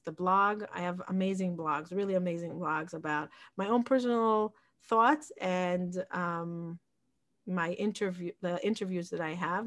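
A woman in her forties who grew up in Israel speaks as an interview guest. Her talk is reflective and casual, full of small restarts as she gathers her thoughts. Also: lowish voice. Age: 40s. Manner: reflective, with frequent restarts and fillers. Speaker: podcast guest, community founder.

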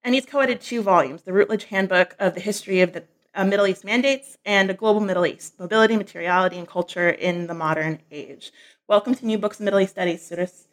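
A woman hosts a podcast interview. She talks quickly at a middle pitch and moves briskly from the introduction into her questions. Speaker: podcast host.

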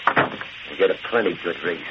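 A man's voice: deep voice, normal speed, lightly acted. An actor playing a nervous, defensive gangland henchman with slangy tough talk in a 1940s radio drama. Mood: shaken, insistent. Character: jittery male gangland henchman, cowed and defensive. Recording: muffled, noisy old radio recording.

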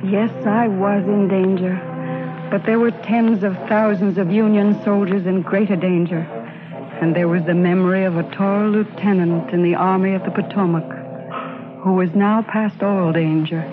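A woman's voice: medium pitch, fairly even intonation, moderate pace, narrow, tinny audio, natural voice. Casting: actress as young female spy in boy's disguise, earnest, dramatic, plucky; vintage radio sound, band-limited, scored with music. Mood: grave narrating. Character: brave young Union spy woman disguised as a boy. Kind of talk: old-time radio drama acting.

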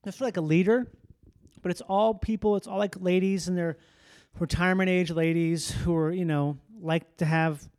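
Dude with a fairly high but mellow voice, laid back and jokey, dry sound. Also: male voice, fairly even intonation, moderate pace, no echo. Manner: easygoing, slangy and playful. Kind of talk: casual chat.

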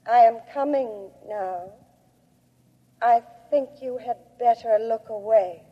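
A sorrowful, dignified alien creature in vintage radio drama. The voice is high and very slow, with formal, solemn phrasing. It rings in a long reverb on a muffled old recording. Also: female voice. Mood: sorrowful, proud. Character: gentle alien female creature, sorrowful, proud, lovingly solemn. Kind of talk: 1950s radio drama acting.

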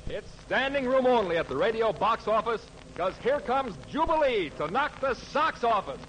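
A man's voice: very high-pitched, big pitch swings, moderate pace, noisy background. An American man announcing a 1940s radio variety show in showy, jive-talking patter. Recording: vintage radio, loud music behind. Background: loud music.

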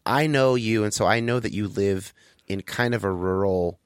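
A man's voice: lowish voice, clear, resonant tone, no echo, studio quality. A man hosting a podcast, talking earnestly and carefully as he introduces the episode and interviews a guest. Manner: earnest, measured, explanatory. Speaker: podcast host.

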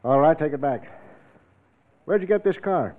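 An actor playing a brisk, suspicious country sheriff, blunt and clipped, in a classic radio crime drama. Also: male voice, a little high, animated, close to an everyday voice. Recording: muffled, phone-like old radio recording.

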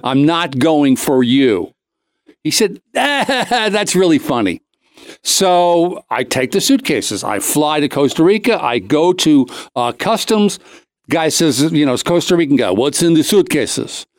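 A man's voice: on the high side, big pitch swings, measured pace, no room sound. A man hosting a talk show, telling a comic anecdote in a casual, rambling way, cutting himself off and acting out the dialogue. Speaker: show host.

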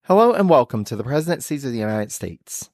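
A man's voice: mid-range voice, sing-song, fast talker, darkish tone, soft background music. A man hosting a history podcast in casual chat, upbeat and laughing often, on a close microphone. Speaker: podcast host.

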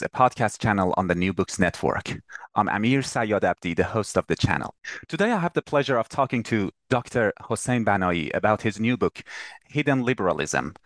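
A man's voice: lowish voice, fairly bright tone, no room sound. A man hosting an interview podcast, introducing his guest and putting questions to him. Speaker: podcast host.

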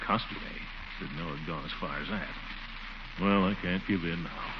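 A man's voice: low voice, moderate pace, natural voice. A man playing a pompous, exasperated middle-aged uncle, blustering theatrically in a 1940s-style radio comedy. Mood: grudging. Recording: noisy, dull old radio recording.

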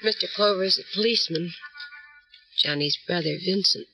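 An actress playing a grieving, resolute widow, solemn and dramatic in an old radio crime drama. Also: female voice, on the low side, moderate pace, bright, forceful tone, husky, dry recording, full character voice, poor audio. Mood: tense.

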